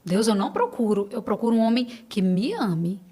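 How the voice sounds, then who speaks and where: A woman's voice: medium pitch, very animated, moderate pace, dark tone, husky. A middle-aged Brazilian woman speaking Portuguese as a podcast guest, in casual, earnest personal conversation, repeating phrases for emphasis.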